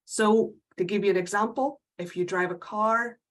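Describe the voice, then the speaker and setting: A woman in her late thirties with a mixed Slovak, Hungarian and Northern Irish accent, speaking as a guest in an online panel interview in a measured, composed way. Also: medium pitch. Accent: mix of Slovak, Hungarian and Northern Irish. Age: late 30s. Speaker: diversity specialist, panel guest.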